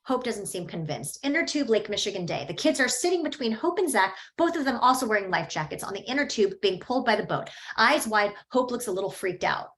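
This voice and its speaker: A woman reading a screenplay's stage directions aloud at a table read, steady and matter-of-fact. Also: medium pitch, a little fast, hardly any pauses.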